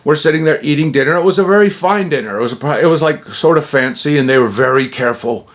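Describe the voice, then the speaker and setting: A man's voice: on the high side, fast. Man, 60s, from Utah. Rambling vlogger-storyteller, self-interrupting, chuckly, slangy. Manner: tosses in slangy asides.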